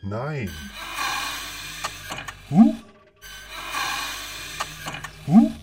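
A woman's voice: deep voice, wildly swooping pitch, extremely slow, full character voice. A woman playing a puzzled, hesitant searcher in an audio drama, speaking in short exclamations. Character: hesitant, puzzled searcher exploring an empty office. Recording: distant voice under loud music.

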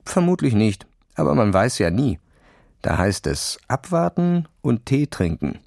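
A man's voice: medium pitch, sing-song, a little slow, gravelly, a little mellow, no echo, studio quality, voice pushed up. A man voicing a cocky, condescending boy who brags in casual slang, in a children's audio story. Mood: knowing. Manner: bragging, sneering, casual youth slang.

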